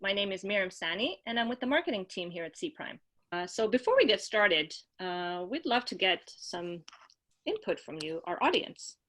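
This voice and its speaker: Woman webinar host, friendly, chatty, ums and uhs, middle pitch. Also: big pitch swings, moderate pace, a bit bright. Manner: friendly, with frequent fillers. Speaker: webinar host from the marketing team. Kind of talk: hosting a webinar, reading poll results.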